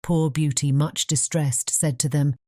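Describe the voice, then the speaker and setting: A woman's voice: low-pitched, steady tone, measured pace, mellow, no echo, studio quality. A woman narrating a fairy tale audiobook from a script.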